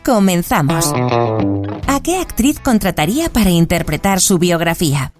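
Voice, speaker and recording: A woman's voice: lowish voice, sing-song, moderate pace, darkish tone, faint music behind. A woman voicing a formal quiz-booth machine. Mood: formal prompting. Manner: formal, announcing machine prompts. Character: female automated movie-booth machine, formal. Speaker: quiz host voice.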